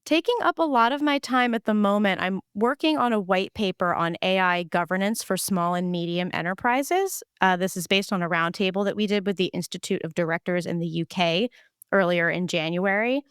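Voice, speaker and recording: A woman's voice: medium pitch, a little fast, fairly bright tone, smooth, no room sound, clean studio sound. A woman hosting a podcast interview, an enthusiastic researcher who introduces her guest and asks questions.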